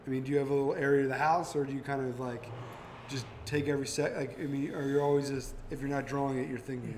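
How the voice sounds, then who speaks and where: A man's voice: medium pitch, slight background noise. A man hosting a casual podcast, talking animatedly and acting out quotes while telling stories. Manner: fast and animated, acts out quotes from his own stories. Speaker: podcast host. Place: brewery taproom.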